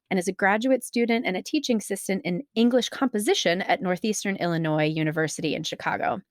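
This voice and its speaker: A woman's voice: on the low side, no echo, studio quality. A woman hosting a podcast interview from home, chatty and effusive, often laughing. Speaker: podcast host. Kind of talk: casual interview. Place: home, during lockdown.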